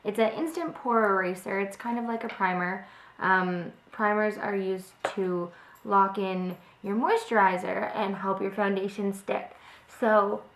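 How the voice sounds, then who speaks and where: A young woman who is a little sick, chatting casually through a makeup tutorial with frequent ums, filmed on a close webcam in her home kitchen. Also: medium pitch. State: slightly sick, voice a bit off. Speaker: beauty vlogger. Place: home kitchen by a window, indoors. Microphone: webcam at close range. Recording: echoey room sound.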